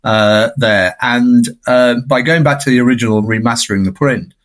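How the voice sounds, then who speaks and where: A man speaks as a guest commentator on film. His voice sits at a middle pitch. He is conversational and discursive, stressing his points as he goes. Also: fairly even intonation, moderate pace.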